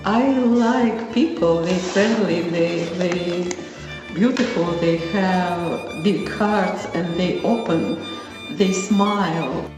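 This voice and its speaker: A woman from Saint Petersburg, speaking slow as an interviewee. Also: fairly low pitch, over music. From Saint Petersburg, Russia. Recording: distant, very echoey hall, muffled.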